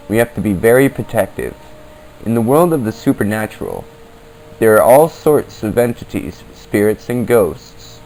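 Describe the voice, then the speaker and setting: A man hosting a podcast, reading scripted narration in an earnest, deliberate manner over a basic amateur recording. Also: fairly low pitch, a little mellow, low-quality recording. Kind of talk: scripted narration on the paranormal in old-time radio format. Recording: basic amateur equipment, music underneath.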